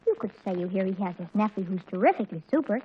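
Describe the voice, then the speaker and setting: A woman's voice: mid-range voice, expressive, rapid-fire, slightly rough. A woman playing an eager, dramatic, boy-crazy teenage daughter in 1940s radio comedy, gushing and exasperated with a self-important air. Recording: muffled vintage 1940s radio recording.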